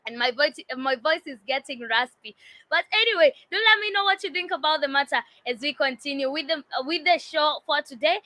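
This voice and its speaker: A woman hosting a news show, reading and commenting on stories with many fillers and restarts, her voice getting raspy. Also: high-pitched, a little fast, sharp tone, no room sound. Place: indoors while it rains outside.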